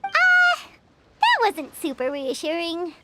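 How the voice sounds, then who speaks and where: A woman voicing a tiny, perky, childlike fairy companion with a squeaky voice in a video game. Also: huge pitch swings, slow, noisy background, full character voice. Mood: uneasy. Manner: refers to self in third person. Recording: music behind the voice.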